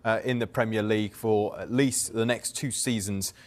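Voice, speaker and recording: A male news presenter running a live interview, measured as he reads details and puts questions. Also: on the low side, fairly even intonation.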